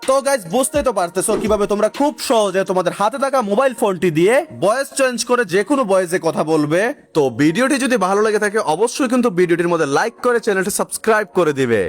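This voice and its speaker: A man's voice: sits high, animated, very fast. A man narrating a gaming tutorial, speaking directly to viewers in an instructional way. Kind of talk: energetic scripted tutorial narration. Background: music.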